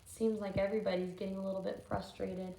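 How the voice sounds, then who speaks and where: A woman's voice: mid-range voice, little pitch movement, quick pace, vocal fry, mellow. A woman teacher reading a storybook aloud to children, with thoughtful questions and asides. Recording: echoey room with faint noise.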